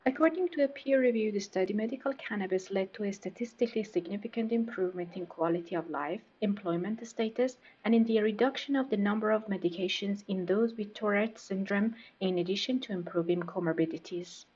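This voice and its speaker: A woman's voice: mid-range voice, a little fast, hardly any pauses, a little mellow, breathy edge. A woman reading a scientific passage aloud as scripted narration. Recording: slightly dull, lo-fi audio.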